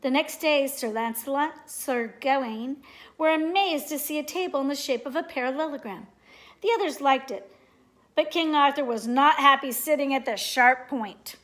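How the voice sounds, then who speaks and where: High-voiced woman reading a children's story. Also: normal speed, a bit bright. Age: middle-aged. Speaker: storybook reader. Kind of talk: children's read-aloud narration. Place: living room at home, indoors.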